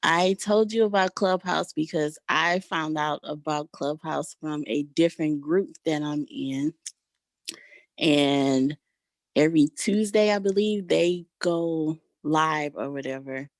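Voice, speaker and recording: Female call participant, unhurried and explanatory, conversational, recorded dry. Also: deep voice, fairly even intonation, no room sound. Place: online group call, unmuted mic.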